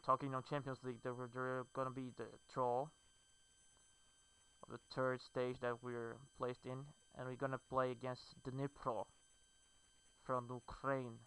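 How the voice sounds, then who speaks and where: Clear, bright-voiced Brazilian man. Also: medium pitch, monotone, a little slow, rough recording. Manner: hesitant, fillers, non-native phrasing. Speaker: gamer. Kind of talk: game commentary.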